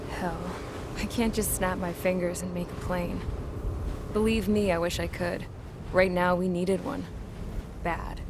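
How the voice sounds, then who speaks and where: A woman's voice: fairly low pitch, level tone, unhurried, heavy background noise. An actress voicing a blunt, plain-spoken young woman mechanic and pilot in a game cutscene, wry and down to earth. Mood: reflective. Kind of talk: game cutscene dialogue and narration. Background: loud music score.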